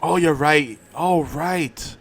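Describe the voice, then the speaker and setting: A man's voice: on the high side, slow, a bit bright, slightly rough. Easygoing man in casual co-host chat. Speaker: co-host of an audio drama talk show. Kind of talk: casual banter about films.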